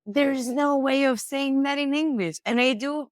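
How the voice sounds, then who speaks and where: Italian-accented woman teacher, expressive. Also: high-pitched, measured pace, no room sound, clean studio sound. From Italy. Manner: expressive, explains with examples and laughs. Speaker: language teacher, guest. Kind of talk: casual podcast conversation.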